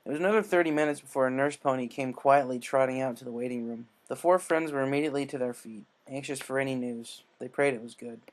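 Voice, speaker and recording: Male story reader, medium pitch, scripted dramatic narration. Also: fast talker, hardly any pauses.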